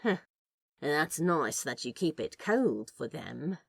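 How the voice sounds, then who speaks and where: A woman's voice: low-pitched, very animated, slow pace, vocal fry, breathy edge, no echo, clean studio sound, full character voice. A woman voicing a skeptical, awkward cool man in a fan fiction audio drama, wry, casual and a little hesitant. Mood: reluctant.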